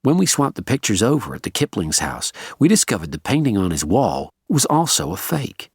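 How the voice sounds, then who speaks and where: A man's voice: lowish voice, breathy edge, no room sound, clean studio sound, exaggerated voice. A man voicing a polite but menacing Southern American heavy, courtly with a steely edge, in a Southern US accent.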